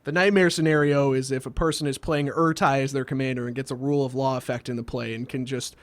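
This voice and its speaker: A man's voice: medium pitch, steady tone, fast, no room sound. A man hosting a scripted comedic explainer with a wry, sardonic delivery, recorded as a close voice-over. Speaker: host of a card game video series.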